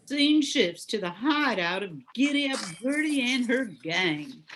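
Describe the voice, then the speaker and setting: Older woman, narrator, slightly high pitch, scripted old-time radio storytelling. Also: lively intonation, a little slow, fairly bright tone, a little hiss or hum.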